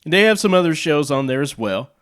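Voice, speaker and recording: A man's voice: a little high, lively intonation, brisk, a bit bright, clear, resonant tone. An American man explaining things casually and off the cuff, with rambling, conversational asides. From United States. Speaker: video host, radio hobbyist.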